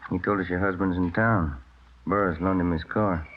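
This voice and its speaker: An actor playing a calm, polite police detective, the junior partner in a 1950s radio crime drama. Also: male voice, normal speed. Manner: low-key, polite. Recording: dull old radio recording.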